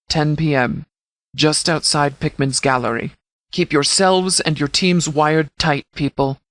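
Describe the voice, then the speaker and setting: A man's voice: a little high, a bit bright, no room sound. Stern, formal sheriff in command , acted by a man. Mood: measured, serious. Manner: formal, clipped, authoritative military phrasing. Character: stern, composed male sheriff commanding a militia department. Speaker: commanding officer. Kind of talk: scripted video game dialogue.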